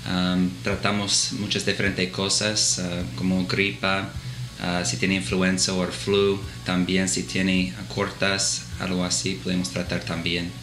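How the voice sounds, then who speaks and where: Hesitant low-voiced man with an American accent in Spanish. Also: monotone, unhurried, reverberant, background noise. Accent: American English speaker with Dominican-learned Spanish. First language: English. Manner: hesitant, many filler sounds and restarts. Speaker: physician assistant at an urgent care clinic. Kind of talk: interview answers. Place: clinic office, indoors.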